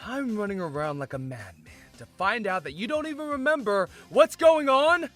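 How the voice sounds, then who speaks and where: Man acting exasperated young dad hero, high male pitch, very lively delivery, anime-style audio drama. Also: normal speed, soft background music. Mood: exasperated shouting. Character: hot-headed, easily exasperated young father and hero.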